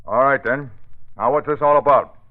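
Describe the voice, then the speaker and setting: An actor playing a gruff, bossy police commissioner in a blustery old radio drama style. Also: male voice, medium pitch, moderate pace, narrow, tinny audio, lightly acted. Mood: brusque. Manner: brusque, blustery.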